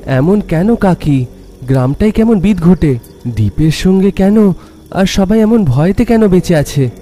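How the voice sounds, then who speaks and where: A man's voice: high-pitched, animated, unhurried, dark tone, a little hiss or hum. A man voicing a timid, worried young companion in a cartoon, fretful and questioning. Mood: uneasy. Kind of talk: cartoon voice acting.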